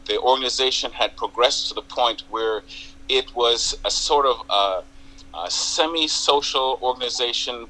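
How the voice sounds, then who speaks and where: An older man with a Jamaican accent, a community group president telling his story in a measured, halting way as a TV interview guest. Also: on the low side, sharp tone, slight background noise, low-quality recording. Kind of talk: interview on local TV.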